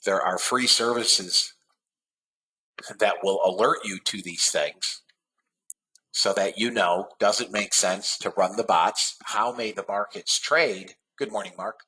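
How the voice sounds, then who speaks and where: A man's voice: lowish voice, slow, pushed and bright, slightly rough, poor audio. A man hosting a live trading webinar, sounding nasal from a head cold and talking in a rambling way with lots of restarts. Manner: rambling, frequent uh fillers and restarts. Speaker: trading room host.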